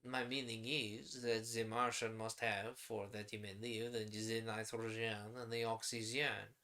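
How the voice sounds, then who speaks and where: A man voicing an older male French chemist professor in a put-on French accent, explaining slowly in formal, broken phrasing. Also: lowish voice, flat delivery, bright, forceful tone.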